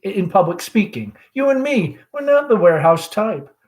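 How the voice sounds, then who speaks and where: A man's voice: sits high, very animated, moderate pace, a little mellow, pitched above the performer's own voice. Man reading a hearty, ambitious young go-getter. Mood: persuasive.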